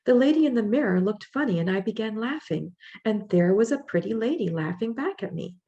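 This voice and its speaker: A woman voicing a young immigrant mother in labor, reading her thoughts in the first person in a plain, gently rueful way. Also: mid-range voice, lively intonation, fairly quick, highs cut off, natural voice. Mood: reflective.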